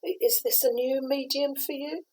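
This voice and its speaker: A woman's voice: high voice, moderate pace, darkish tone. A woman hosting a podcast interview, asking brief, plain questions.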